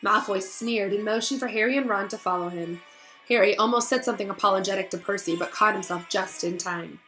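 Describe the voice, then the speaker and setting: A woman with a middling pitch speaks like a schoolteacher reading to her class. Her delivery is expressive and affectionate, aimed straight at children. Music is clearly audible behind her. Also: brisk, poor audio. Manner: addresses children directly, encouraging and affectionate. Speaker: teacher reading aloud to pupils. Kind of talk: expressive storybook read-aloud.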